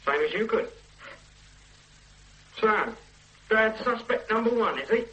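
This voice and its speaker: An actor playing a blunt, unsentimental ex-soldier son in a radio drama, offhand and dismissive. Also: male voice, sits high, expressive, slow, bright, forceful tone, heavily acted, poor audio. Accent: Northern English. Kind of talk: 1970s radio drama acting.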